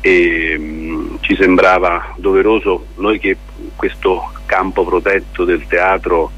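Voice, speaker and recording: A man answering as a theatre director in a radio interview, explaining in a halting, deliberate way with pauses and repeated words. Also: low voice, little pitch movement, fairly bright tone, slightly rough. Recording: muffled, lo-fi radio interview with noise.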